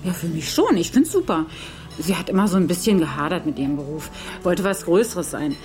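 Eine Schauspielerin spielt in einem Krimi-Hörspiel eine freimütige, neckende Floristin bei einer Verabredung. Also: female voice, on the low side, lively intonation, brisk, a little mellow, faint music behind, close to an everyday voice. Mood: casual, curious. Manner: frank, teasing. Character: teasing, blunt woman florist on a date. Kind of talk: radio crime drama dialogue.

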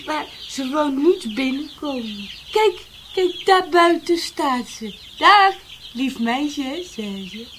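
A simple, sweet young sister in a radio drama. Her voice is high and childlike, and she draws her words out very slowly. Chickens cluck in a clearly noisy background. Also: big pitch swings, exaggerated voice. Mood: childlike delight. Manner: childlike, slow, naive. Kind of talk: Dutch radio drama acting.

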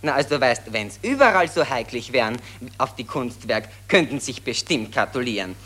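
An actor playing a jolly, self-satisfied Austrian army lieutenant in 1940s radio drama style, speaking in colloquial officer slang. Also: male voice, medium pitch, expressive, moderate pace, bright, forceful tone. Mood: breezy, complacent. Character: jolly, complacent Austrian army lieutenant reading newspapers aloud.

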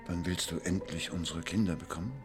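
An actor playing a suspicious, controlling husband who questions sharply in a radio play. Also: male voice, low voice, steady tone, a little fast, over music, lightly acted. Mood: accusing. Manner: interrogating, sharp-tongued. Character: suspicious controlling jealous husband, quick to anger.

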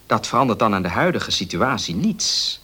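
An actor playing a sober young student who speaks in an earnest, reassuring way in a Dutch radio play. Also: male voice, lowish voice, very animated, moderate pace, sharp tone, breathy edge, exaggerated voice. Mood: resigned, earnest. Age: young adult.

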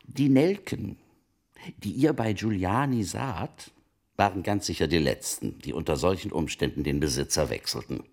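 An actor playing a wealthy, wily merchant of old Italy in a German radio play, speaking formally and deliberately in a gravelly, husky voice. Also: male voice, sits low, expressive, a little mellow. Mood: wry, defensive. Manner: formal archaic phrasing, deliberate storytelling.

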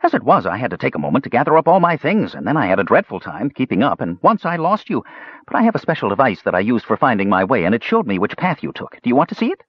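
A man's voice: mid-range voice, huge pitch swings, fast, slightly rough, dry recording, dull audio, pitched above the performer's own voice. A man voicing a small, cheerful, talkative kender who rambles eagerly, as an audiobook character. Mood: eager chattering. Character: small cheerful chattering kender, pesky and indignant.

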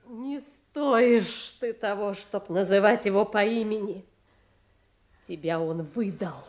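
Villainous noblewoman, female actor, medium register, deliberate slow pacing, imperious scorn, classical audio drama. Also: medium pitch, a little mellow, natural voice. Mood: cold scorn. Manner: theatrical, scornful, commanding. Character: cruel, vicious noblewoman, mocking and imperious. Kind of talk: classical Russian stage tragedy acting.

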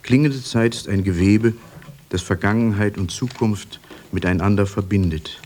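Low-voiced man narrating. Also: moderate pace, darkish tone, slight background noise. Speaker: radio presenter. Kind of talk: scripted narration.

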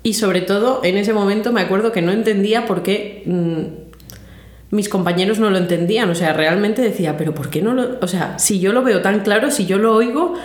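A woman's voice: medium pitch, moderate pace. Woman chatting in an echoey room. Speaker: interviewee, musician. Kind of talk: casual chat.

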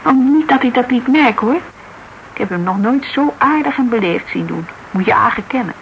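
A high-voiced young Dutch woman in a radio crime drama. She is shrewd and talkative, with very animated intonation and colloquial emphasis. The sound is slightly dull, like an old broadcast. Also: moderate pace, gravelly, a little hiss or hum. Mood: animated recounting. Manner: colloquial, emphatic, thinking aloud. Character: lively young woman, shrewd, talkative associate of a private detective.

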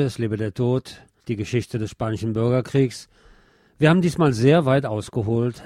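Mellow-voiced man narrating history. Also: medium pitch, no room sound, studio quality. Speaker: radio host. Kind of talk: scripted narration of history, reading aloud.

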